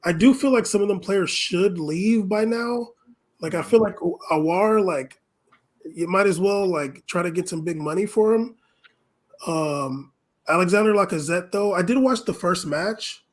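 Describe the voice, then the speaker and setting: A man with a fairly high-pitched voice chats as a podcast pundit about sport. His phrasing is loose and halting, with plenty of casual filler as he thinks aloud. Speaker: podcast co-host and soccer pundit.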